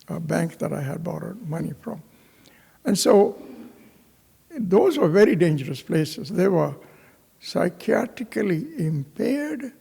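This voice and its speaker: Slow gravelly priest from Pakistan. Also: male voice, fairly high pitch, mellow, long reverb tail. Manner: slow, halting, with many fillers and restarts. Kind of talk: homily with personal storytelling. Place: parish church.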